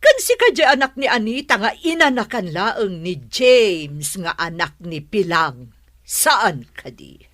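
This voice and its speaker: A woman playing a stern, scornful middle-aged mother in an audio drama, sharp and interrogating. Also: medium pitch, big pitch swings, measured pace, dry recording. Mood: cold, disdainful.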